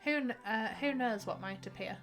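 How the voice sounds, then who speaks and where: A woman's voice: a little high, moderate pace, fairly bright tone, soft background music. A woman reading out a friendly middle-aged village woman's game dialogue in a plain voice. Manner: plain read-aloud dialogue.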